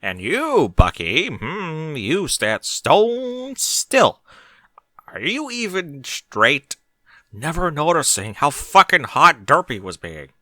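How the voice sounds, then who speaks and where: A man voicing a pushy, teasing female pony in a story, with drawn-out, commanding delivery and humming hesitations. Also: on the high side, huge pitch swings, pushed and bright, no room sound, pitched above the performer's own voice, full character voice. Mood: taunting. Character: brazen, pushy, lustful adult female pony.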